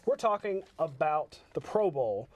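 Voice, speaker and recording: Higher-voiced male sports host. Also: sing-song, measured pace, a little mellow, no echo. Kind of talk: upbeat sports highlight commentary and interview.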